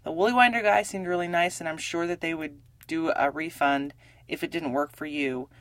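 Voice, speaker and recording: Chatty woman podcaster, slightly rough voice. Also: low-pitched, brisk, no room sound. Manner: friendly, chatty, upbeat sign-off. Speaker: podcast host. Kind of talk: casual solo podcast talk.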